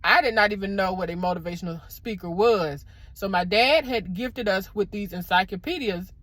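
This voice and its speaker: A middle-aged woman with a loud, deep speaking voice, giving casual motivational talk to camera on a clip-on mic and calling her viewers y'all. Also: normal speed, dry recording. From American South. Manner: warm preacherly encouragement, addresses viewers as y'all. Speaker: motivational vlogger. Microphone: clip-on microphone.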